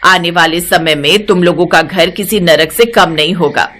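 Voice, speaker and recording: A woman's voice: on the low side, moderate pace, fairly bright tone. A woman playing an earnest older mother-in-law in a cartoon moral story, speaking in an admonishing way. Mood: earnest advising. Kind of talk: acted Hindi moral-story cartoon dialogue.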